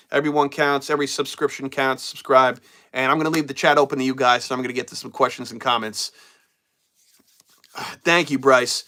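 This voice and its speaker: Chatty man with a cold, bright voice. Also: medium pitch. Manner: casual, loose, repeats himself and trails off. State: getting over a cold, voice going. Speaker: wrestling show host. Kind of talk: live-stream chat, reading viewer comments.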